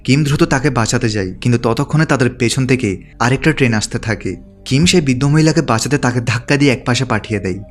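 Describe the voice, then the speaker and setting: Man, scripted recap narration, rapid delivery, background music. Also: medium pitch.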